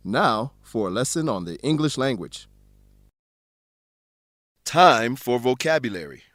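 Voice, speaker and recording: A man's voice: medium pitch, very animated, unhurried, fairly bright tone, no room sound. A man narrating a radio drama for English learners in simple, scripted sentences. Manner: simple sentences with rhetorical questions.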